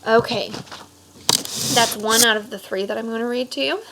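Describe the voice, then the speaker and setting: A woman with a fairly high voice reads aloud for children as a teacher. She explains things instructively and checks in with questions. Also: steady tone, normal speed, heavy background noise.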